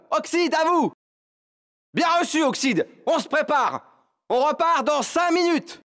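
Impatient male soldier, raised very high voice, forceful, clipped radio calls, war game. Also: exaggerated voice. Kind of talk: military radio chatter, game voice acting.

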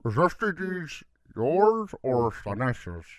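A man's voice: medium pitch, very animated, takes it slowly, smooth. A man voicing a blunt, curious know-it-all demon god of change in a comic dub, talking casually.

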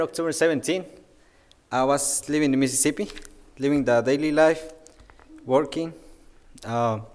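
A young man from Guatemala, speaking English as his third language, telling a personal testimony hesitantly at a church pulpit. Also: mid-range voice. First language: not English, third language. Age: young adult. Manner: hesitant, with fillers and non-native grammar. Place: church sanctuary, at a wooden pulpit. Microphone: pulpit mic. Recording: long reverb of a church hall.

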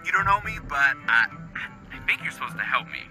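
A man's voice: on the high side, sing-song, fairly quick, over music. A man playing a nervous, stammering caller leaving a message on an answering machine. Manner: hesitant, rambling, stammering. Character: nervous young man hearing premonitions.

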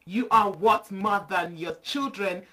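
A woman's voice: mid-range voice, slow pace, sharp tone. A woman hosting a talk show who reads out viewer comments and speaks to them with encouragement. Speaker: host.

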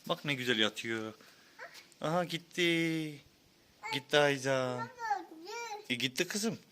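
A man's voice: mid-range voice, takes it slowly. A man speaks to his young child in casual, coaxing bursts, often repeating a short prompt. It sounds like an amateur outdoor video from a handheld phone, with faint noise behind the voice. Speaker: parent filming a young child. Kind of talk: casual child-directed chat. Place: outdoors near animals.

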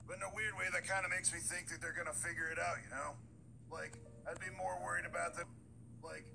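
Man voicing gruff gravelly gamer. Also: sits high, poor audio. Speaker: voice actor playing Veteran. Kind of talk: comedic animated character voice.

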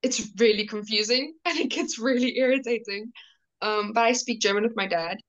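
A woman's voice: fairly high pitch, normal speed, muffled recording. A young woman from South Africa, a guest on a video call, talking casually and at length with many fillers and restarts.

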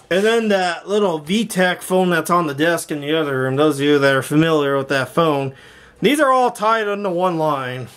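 Male vlogger, slightly high voice, halting and off-the-cuff, showing his gear on a handheld camera. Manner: rambling, halting, thinking aloud. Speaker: video creator showing a home intercom and speaker system. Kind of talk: casual walkthrough vlog. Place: indoor garage workshop.

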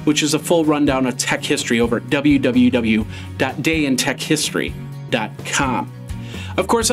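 Higher-pitched man hosting a web show. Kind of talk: casual scripted-feel presenting to camera. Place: small home office studio.

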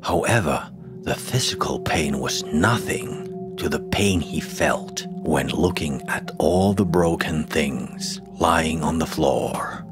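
A man narrating a scary folktale in a dramatic, deliberate storytelling manner. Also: extremely low pitch, animated. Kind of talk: scripted horror folktale narration. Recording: music behind the voice. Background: music.

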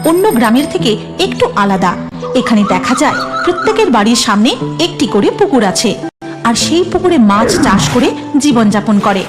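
A woman's voice: a little high, big pitch swings, brisk, loud music behind the voice. A woman narrating a scripted cartoon horror story in a dramatic storytelling manner.

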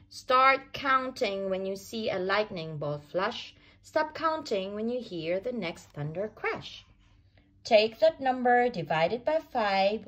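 A woman reading a rhyming children's picture book aloud as a storyteller, with expressive, deliberate sing-song phrasing for young kids. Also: mid-range voice, poor audio.

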